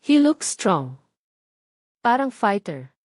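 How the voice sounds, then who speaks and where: A woman's voice: mid-range voice, big pitch swings, slow, a little mellow, dry recording. Romantic male lead read by a woman, Filipino accent, earnest and hesitant, audiobook drama, dry sound. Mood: admiring. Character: serious, hesitant young man, gently concerned romantic lead. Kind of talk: audiobook character dialogue.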